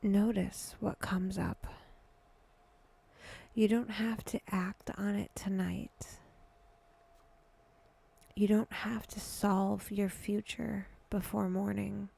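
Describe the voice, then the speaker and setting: A woman guiding a meditation in a hushed voice that drifts toward a whisper, speaking deliberately in short phrases with long pauses. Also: medium pitch, little pitch movement, creaky, slightly rough. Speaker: guided meditation host. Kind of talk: slow, breathy guided meditation narration.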